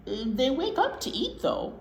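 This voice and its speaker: Animated woman reading to children, echoey room. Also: high voice, measured pace. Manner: folksy, informal asides with repetition for emphasis. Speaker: children's storytime presenter. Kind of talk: read-aloud with chatty explanations for young children. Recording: echoey room with background noise.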